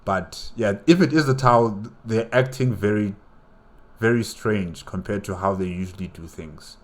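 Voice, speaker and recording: A man's voice: on the low side, normal speed. A man giving casual reaction commentary as a host, thinking aloud hesitantly and repeating his words.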